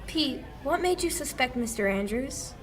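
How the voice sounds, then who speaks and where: A woman's voice: high voice, lively intonation, moderate pace, some chatter behind. A young female amateur actor playing a sleuth's affectionate young sweetheart in 1940s radio play style. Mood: affectionate, curious. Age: young adult. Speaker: amateur high school actor.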